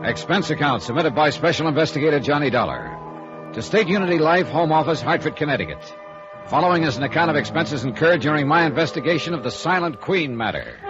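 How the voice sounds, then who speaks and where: An actor playing a wry, easygoing male insurance investigator in a 1950s radio noir drama, bantering and narrating in a hard-boiled way. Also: a little high. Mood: brisk narrating. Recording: dull vintage radio recording with music.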